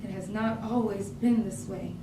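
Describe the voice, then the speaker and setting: A woman's voice: medium pitch, fairly even intonation, normal speed, lightly acted. Woman acting wounded Southern woman, emphatic poetic stage delivery, roomy echo, distant mic. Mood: wistful, reflective. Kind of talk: staged reading, poetic theatre monologue. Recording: echoey room, distant mic, clear background noise.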